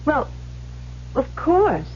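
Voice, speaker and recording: High-voiced, guarded, proud woman. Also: very animated, very slow, exaggerated voice. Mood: nervously polite. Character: guarded, proud former actress, unhappily married, defiant. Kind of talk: old-time radio drama acting. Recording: muffled vintage radio broadcast, faint hum.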